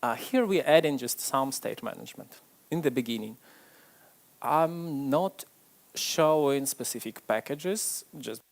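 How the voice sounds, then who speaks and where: Male conference speaker, chatty and self-deprecating, middle pitch, speaking to a live crowd. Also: lively intonation, unhurried, creaky. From Ukraine. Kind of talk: tech conference talk. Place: stage before a live audience.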